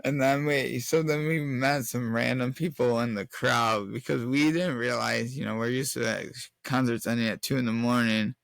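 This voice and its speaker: A man's voice: mid-range voice, fairly even intonation, moderate pace, gravelly, few pauses, a bit bright, no echo. A young man recounting stories in a casual chat, telling them loosely and informally, piece by piece. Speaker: co-host.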